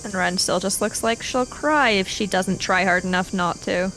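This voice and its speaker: A woman's voice: mid-range voice, moderate pace, fairly bright tone, soft background music, low-quality recording. A woman chatting casually and roleplaying as a player in a tabletop game.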